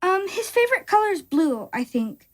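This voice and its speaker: A small, shy child in an audio drama. The voice is very high and answers haltingly and simply. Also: female voice, big pitch swings. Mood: shy, hesitant.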